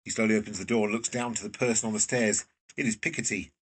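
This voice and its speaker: A man with a very husky voice reading scripted present-tense narration for a drama, recorded away from the microphone. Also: lowish voice, steady tone, fast, recorded from a distance, slight background noise.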